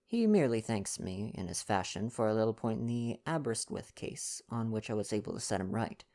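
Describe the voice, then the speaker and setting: A man's voice: on the low side, lively intonation, brisk, dark tone. Older Belgian detective played by a man, storybook character: vain, courteous, self-important, formal foreign turns of phrase. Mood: wry. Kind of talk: storybook dialogue reading.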